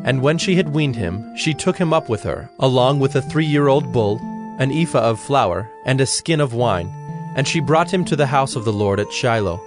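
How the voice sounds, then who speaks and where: A man's voice: mid-range voice, lively intonation, moderate pace, music in the background. A man narrating scripture from a script in a solemn style.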